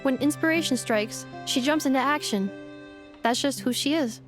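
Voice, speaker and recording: A woman voicing a polite, caring robot companion in a video game, explaining things calmly and precisely. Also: high voice, moderate pace, close to an everyday voice. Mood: polite, composed. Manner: calm, explanatory. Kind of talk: scripted video game dialogue. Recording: music behind the voice.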